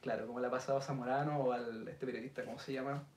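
A man in his thirties with a Chilean accent, telling stories in a relaxed podcast chat with Chilean slang. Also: mid-range voice, racing. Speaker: podcast host. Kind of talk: casual chat. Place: indoor studio set with city backdrop. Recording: roomy sound, mic a bit distant.

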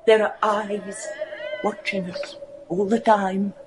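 A woman acting a fearful, haunted daughter of a gothic manor in a horror radio play, theatrical and hushed. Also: mid-range voice, level tone, slow pace, over music, lightly acted. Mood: anxious, secretive. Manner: breathy, theatrical, hushed and ominous.

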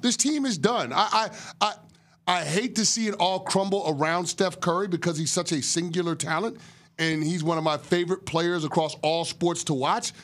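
A man arguing bluntly and emphatically as a sports analyst on a studio debate show, at a desk boom mic.

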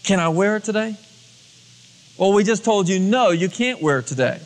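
A man's voice: sits high, expressive, normal speed. A man preaching a storytelling sermon as a pastor, acting out dialogue in everyday colloquial words. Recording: long hall reverb, cassette tape transfer.

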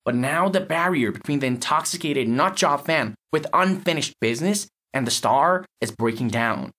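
A man's voice: a little high, expressive, normal speed, pushed and bright, husky, full character voice. A man playing a cynical, blunt lab technician in an audio story, explaining in a matter-of-fact, sardonic way. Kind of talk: acted dialogue in an audio short story.

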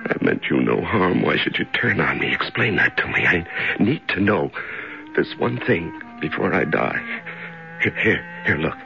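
Weak half-crazy prisoner muttering to himself, swings all over, old dull radio recording w/ some music. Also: male voice, low voice, big pitch swings, creaky, sharp tone, exaggerated voice. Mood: tender pleading. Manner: halting, repetitive, talking to himself. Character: weak, half-mad male prisoner in darkness, desperate yet gentle. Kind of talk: 1970s radio drama acting.

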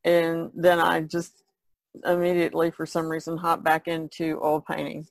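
A woman's voice: low voice, completely flat delivery, moderate pace, vocal fry, no echo. A woman chatting casually as a podcast panelist, in a hesitant, musing way. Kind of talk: casual chat.

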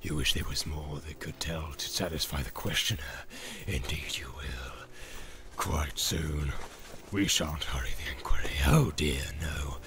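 Very deep hissing, cruel orc. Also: male voice, measured pace, fairly bright tone, heavily acted. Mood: sneering menace. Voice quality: hissing, whispery. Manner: sneering, mock-affectionate threats. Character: menacing, sly, cruel orc captor, male.

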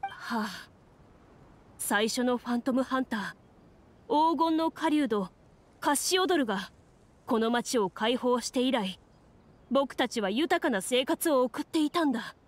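A woman's voice: sits high, expressive, a little slow, long pauses. Woman voicing an anxious, earnest young townsman. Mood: worried. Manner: worried, sighing, earnest.

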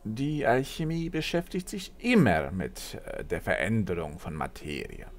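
A man's voice: on the low side, big pitch swings, unhurried. A man acting a reserved, evasive alchemist who speaks hesitantly in a fantasy audio drama. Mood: reluctant, evasive. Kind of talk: fan-made German fantasy audio drama.

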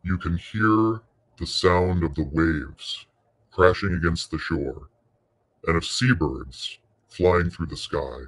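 Male meditation narrator, low register, very slow delivery, soothing and unhurried, short phrases with pauses. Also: fairly bright tone. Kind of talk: guided meditation.

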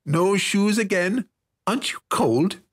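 A man voicing an irritable, rough-spoken teenage ranch lad in a fantasy storybook, with a nasal voice. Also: high-pitched, animated, a little slow, voice pushed up. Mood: grumbling, curious.

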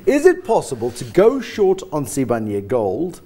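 A man's voice: medium pitch, wildly swooping pitch, moderate pace, dark tone, breathy edge. A middle-aged man hosting a studio interview show in a teasing, probing manner. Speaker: TV show host. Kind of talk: lively unscripted studio interview. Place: TV studio, standing.

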